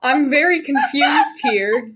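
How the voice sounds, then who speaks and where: A woman's voice: wildly swooping pitch, slow pace, a bit bright. Joking young woman podcast host. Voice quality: self-described shrill voice. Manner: joking, swears freely. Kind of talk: casual chat among friends.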